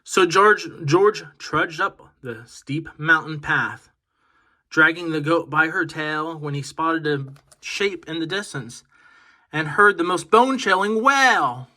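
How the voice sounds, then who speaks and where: High, very bright male storybook reader. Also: animated, slow. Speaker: storybook reader on a children's channel. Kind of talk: children's picture-book read-aloud.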